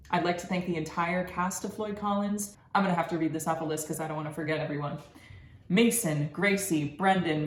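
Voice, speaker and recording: Young female podcast host for a heartfelt thank-you speech, low-pitched, roomy sound with light noise. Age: young adult. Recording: roomy echo, faint background noise.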